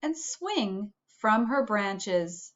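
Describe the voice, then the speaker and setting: A woman's voice: medium pitch, expressive, extremely slow, a bit bright, highs cut off. A woman reading a storybook aloud to children, like a school principal addressing pupils.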